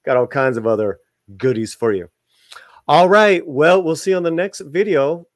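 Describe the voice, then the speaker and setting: A man's voice: fairly high pitch, lively intonation, moderate pace, no echo, studio quality. A man hosting a video, reading an article aloud with informal asides to viewers and some stumbles over the words. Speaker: video channel host.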